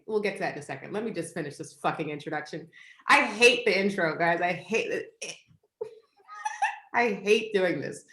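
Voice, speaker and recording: A woman's voice: medium pitch, sharp tone, reverberant. A woman hosting a podcast over a video call, blunt and laughing often with a lot of swearing. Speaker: podcast host.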